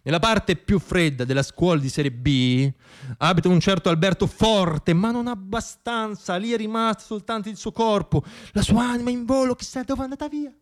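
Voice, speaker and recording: A man performing a theatrical radio monologue, telling stories in a wry, dramatic way with deliberate pauses. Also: high voice.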